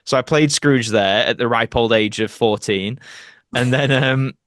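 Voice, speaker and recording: A man's voice: mid-range voice, measured pace, a bit bright, no echo, clean studio sound. A young British man chatting casually as an interview guest, reflective and anecdotal. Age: young adult. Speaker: podcast guest, actor and YouTuber.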